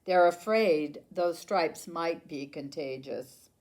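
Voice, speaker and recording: A woman voicing an apologetic male school principal in a storybook, speaking in a measured, regretful, official way. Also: low voice, a little mellow, voice pushed down. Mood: regretful, serious.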